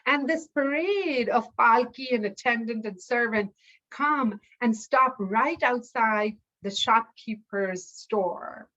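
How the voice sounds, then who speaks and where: Female folktale storyteller, very unhurried and deliberate, higher register, dull lo-fi sound. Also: fairly bright tone. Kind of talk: oral folktale telling.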